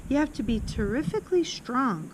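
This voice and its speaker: A woman's voice: high voice, expressive, normal speed, pitched above the performer's own voice. A woman voicing a dramatic, boastful older schoolchild in a children's book read-aloud, theatrical and grandiose. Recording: loud noise throughout.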